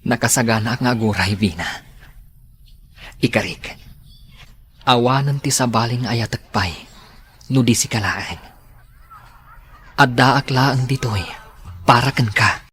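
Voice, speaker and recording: A man's voice: medium pitch, unhurried, long pauses, a bit bright, slight background noise, close to an everyday voice. A man with an Ilocano accent playing a shy, sincere young suitor in a radio drama. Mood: devoted.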